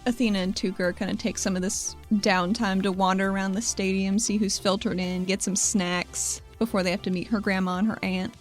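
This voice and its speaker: A woman's voice: mid-range voice, flat delivery, a little fast, few pauses, faint music behind. A woman chatting and narrating her character's actions casually as a player in a tabletop game.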